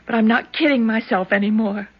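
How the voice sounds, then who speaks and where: Headstrong young daughter, a bit high-pitched, in an old radio play. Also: female voice, a little fast, breathy edge. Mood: pleading. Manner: earnest, emotional. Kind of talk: 1970s radio drama acting. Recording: dull old radio broadcast.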